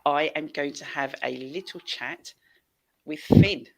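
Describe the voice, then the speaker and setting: A woman's voice: low-pitched, a little slow, creaky. A middle-aged woman hosting a casual livestream from a headset, effusive and repeating phrases for emphasis. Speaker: show host. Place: small indoor room on video call.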